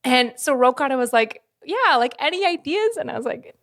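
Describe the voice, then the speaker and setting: A young woman streamer chatting casually as she reacts to clips, animated and laughing, swearing now and then and mimicking people. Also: high-pitched, moderate pace.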